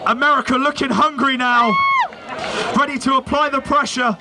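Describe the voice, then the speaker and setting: A man with a very high voice gives live color commentary, heaping praise on every play. A huge crowd roars around him outdoors. The field noise is loud and constant. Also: steady tone, normal speed. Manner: effusive, keeps praising play. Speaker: sports commentator.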